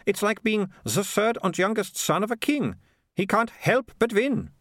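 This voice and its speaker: A man voicing a bossy, confident know-it-all girl in a storybook, in a brisk, declamatory manner. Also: high voice, expressive, fairly bright tone, no echo, clean studio sound, pitched above the performer's own voice, full character voice. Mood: triumphant, assertive.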